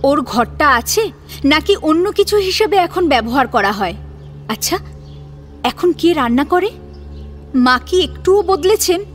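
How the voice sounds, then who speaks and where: High-voiced female story narrator. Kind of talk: dramatic scripted narration. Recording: music behind the voice.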